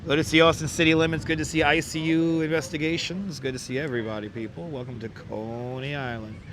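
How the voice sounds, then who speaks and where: A hoarse, crackly-voiced man, pitched a bit high, chatting outdoors as he greets his livestream viewers by name. Faint traffic and city sounds drift behind him on a clip-on mic. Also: flat delivery, quick pace, fairly bright tone. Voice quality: crackly, squeaky. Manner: reels off viewer names with repeated welcomes. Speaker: livestream host. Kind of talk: casual live chat greeting viewers. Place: outdoors on a beach boardwalk, city street sounds. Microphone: wireless clip-on mic. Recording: outdoor phone livestream with street noise. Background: faint traffic and city ambience.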